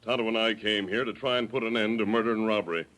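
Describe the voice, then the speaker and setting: A man playing a calm, commanding masked frontier hero, speaking deliberately in a 1930s radio drama. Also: lowish voice, close to an everyday voice. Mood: calm, attentive. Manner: steady, reassuring, deliberate.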